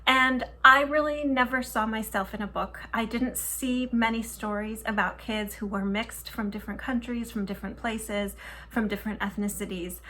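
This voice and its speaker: A woman's voice: fairly high pitch, fairly even intonation, moderate pace, pushed and bright. A woman author raised in Minnesota speaking earnestly about why she wrote her book. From Minnesota, USA. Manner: earnest, heartfelt.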